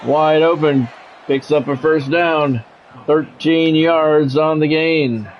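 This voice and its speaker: Higher-pitched male football play-by-play announcer. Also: steady tone, slow pace, lo-fi sound, a little hiss or hum. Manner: short clipped play-by-play phrases. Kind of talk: American football game commentary.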